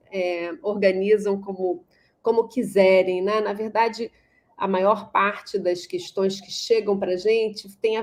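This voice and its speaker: A woman's voice: mid-range voice, a little mellow. A Brazilian woman moderating an academic panel informally, reflective and often rephrasing as she searches for words. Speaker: moderator of an online course panel.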